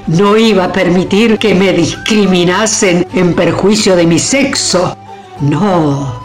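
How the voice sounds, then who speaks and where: A woman playing a proud, passionate old medieval queen in a radio drama, with an Argentine accent and theatrical, emphatic delivery. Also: lowish voice. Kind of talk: melodramatic radio drama acting.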